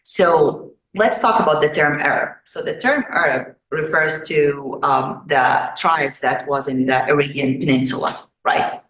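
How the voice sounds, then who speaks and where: Chatty Egyptian-born woman, low voice, roomy echo. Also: a little slow, few pauses, a bit bright. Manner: chatty, uses "right?" and "um" often. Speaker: forum speaker. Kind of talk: informal presentation to a community audience. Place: meeting house room.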